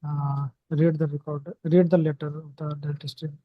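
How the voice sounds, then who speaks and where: A man explaining a solution in a group discussion, halting between words. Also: a little high, flat delivery, dry recording. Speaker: forum participant. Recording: dry, dull call audio.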